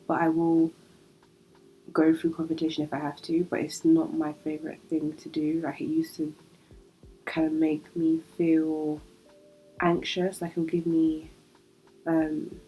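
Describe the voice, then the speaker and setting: A woman's voice: deep voice, mellow, rough recording. A young British woman hosting a solo podcast, chatty and reflective, sounding nasal from a cold. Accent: British English. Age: young adult. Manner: chatty, reflective, laughs easily. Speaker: podcast host.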